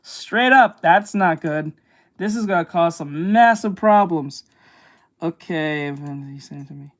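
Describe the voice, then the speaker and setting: A woman artist chatting casually while she draws, reacting with quick exclamations and plenty of swearing. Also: on the low side. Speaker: artist streaming while drawing. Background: music.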